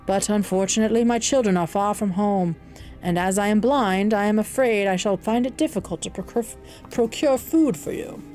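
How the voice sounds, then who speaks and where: A woman's voice: medium pitch, moderate pace, darkish tone, soft background music, natural voice. A woman voicing a kindly, courteous blind old man in an audiobook, with a Southern US accent and an earnest, reassuring, formal manner. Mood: courteous, apologetic. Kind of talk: audiobook character voice.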